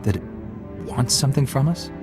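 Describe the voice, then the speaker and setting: A man's voice: mid-range voice, animated, normal speed, over music, close to an everyday voice. A man playing an earnest young Jedi apprentice in a video game, speaking politely and practically, with an inquisitive manner. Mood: earnest, inquiring.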